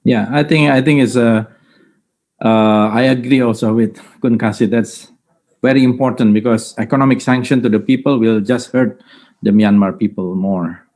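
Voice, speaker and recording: A man speaking as a panel politician, halting and searching for his words. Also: medium pitch, fairly even intonation, a little mellow. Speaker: politician on a press conference panel.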